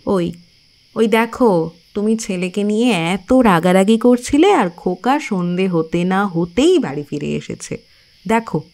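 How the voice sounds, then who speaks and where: An actress playing a devout village housewife and protective mother in an audio drama, with an expressive, coaxing manner. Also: mid-range voice, unhurried. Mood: placating.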